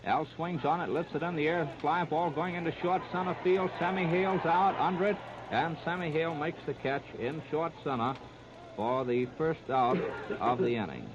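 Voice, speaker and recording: Male 1930s American radio baseball commentator, higher-pitched voice, clipped delivery, heavy noise on a dull old disc. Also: normal speed. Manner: clipped present-tense running description. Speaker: baseball play-by-play announcer. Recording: muffled, very noisy 1939 radio disc.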